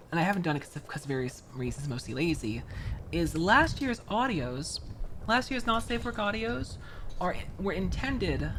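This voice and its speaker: A chatty streamer talks through a model kit build, jumping between topics and stopping to greet viewers. The voice moves up and down a lot, bright and lively. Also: medium pitch, slight background noise. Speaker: VTuber streamer. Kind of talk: casual live-stream chat while building a model kit.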